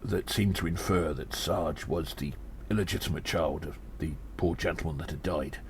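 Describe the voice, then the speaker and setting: A man playing a weary gnome investigator in an audio drama, musing haltingly and deliberately with breathy sighs. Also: rumbling low. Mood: grave, pondering. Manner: slow, deliberate, halting, pondering. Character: weary gnome investigator reporting on a spy conspiracy. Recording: faint background noise.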